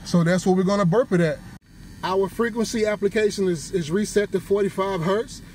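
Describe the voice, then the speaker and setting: A man's voice: high voice, a little fast, darkish tone, rough recording. A man hosting a hands-on product test, explaining each step to the viewers as he goes. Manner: explanatory, addresses viewers as "you guys". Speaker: car audio reviewer and host. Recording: clear background noise, vehicle ambience.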